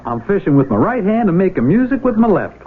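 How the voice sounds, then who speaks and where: An actor in a 1970s radio drama playing an easygoing, wry young American country fellow with folksy banter. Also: male voice, high voice, big pitch swings, fast talker. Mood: wry, playful. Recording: phone-like lo-fi old radio, hiss.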